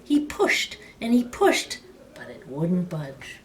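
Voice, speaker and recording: A woman storyteller reading a picture book aloud with expressive, dramatic and emphatic phrasing. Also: on the low side, takes it slowly.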